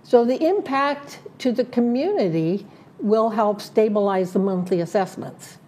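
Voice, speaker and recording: A woman serving as a community board director, laying out her plans in an interview, listing points slowly with many fillers and restarts. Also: medium pitch, expressive, mellow, a little hiss or hum. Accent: Southern Californian. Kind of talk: prepared statement in an interview.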